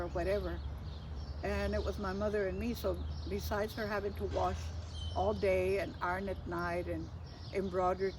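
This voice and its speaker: Older woman storyteller, distant noisy recording. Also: mid-range voice. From Texas, United States. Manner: animated, acts out remembered dialogue, chuckles at her own stories. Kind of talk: casual personal storytelling. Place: outdoors. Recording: distant mic, clearly noisy outdoor ambience.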